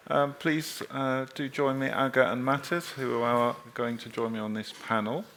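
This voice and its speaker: A man's voice: medium pitch, moderate pace, fairly bright tone, a little hiss or hum. A man moderating a conference panel, polite and inviting, with many hesitant fillers. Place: conference stage in a hall.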